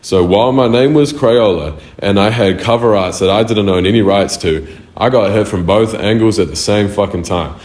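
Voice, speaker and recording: Young New male artist, very deep, swears freely, echoey room. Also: moderate pace, a little mellow. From New Zealand. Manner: loose, slangy and profane. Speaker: music artist. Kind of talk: casual answers to interview questions. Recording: roomy echo.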